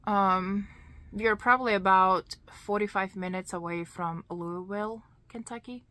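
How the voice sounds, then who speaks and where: A woman in her thirties with a Lithuanian accent, chatting to camera in a slow, deliberate storytelling way, full of "you know" and "like.". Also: medium pitch, steady tone, fairly bright tone, no room sound. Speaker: vlogger. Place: small indoor craft room.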